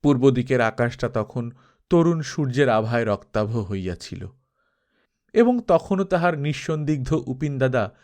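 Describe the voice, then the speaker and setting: A man narrating a classic novel as an audiobook, with solemn, expressive and measured phrasing. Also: medium pitch. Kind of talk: scripted literary narration, dramatic storytelling.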